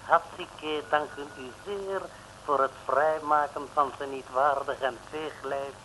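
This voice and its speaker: An actor playing a flowery, over-polite scheming villain who speaks stilted broken Dutch in an old radio comedy. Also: male voice, a little high, fairly even intonation, slow pace, sharp tone. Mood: gloating, ceremonious. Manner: ceremonious, stilted broken Dutch with ornate phrases. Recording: noisy old radio recording.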